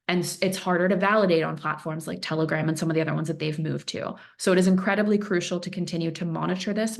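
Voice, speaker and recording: A woman's voice: on the low side, creaky. A woman giving an earnest presentation as an analyst, stressing points by repeating phrases, with fillers like "um" and "you know". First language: Farsi speaker. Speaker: threat intelligence analyst presenting. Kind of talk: webinar presentation.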